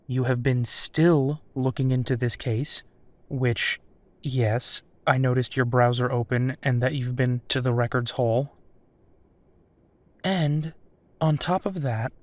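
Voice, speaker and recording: Man acting as unhurried, protective male werewolf detective. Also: mid-range voice, darkish tone, no echo, natural voice. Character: male werewolf private investigator, protective, teasing, dogged, affectionate. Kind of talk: roleplay audio drama, intimate one-sided dialogue.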